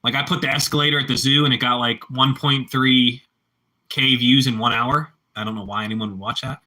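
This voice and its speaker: A man's voice: medium pitch, fairly even intonation, fairly quick, sharp tone, poor audio. A man with a Pittsburgh accent chatting casually with live-stream viewers, rambling and repeating himself as he answers the chat.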